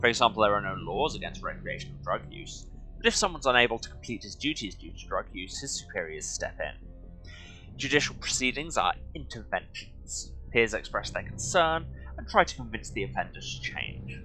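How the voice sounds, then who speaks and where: A man's voice: fairly low pitch, big pitch swings, normal speed, sharp tone, soft background music, rough recording. A man reading game lore aloud as a streamer, breaking off for wry, offhand commentary. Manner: wry, sardonic asides. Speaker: game streamer, video host.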